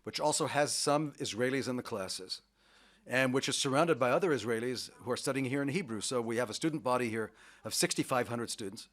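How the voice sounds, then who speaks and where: A man's voice: medium pitch, fairly quick, fairly bright tone. Middle-aged man, radio interviewee, enthusiastic, talkative, occasional restarts. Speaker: university vice president, interviewee.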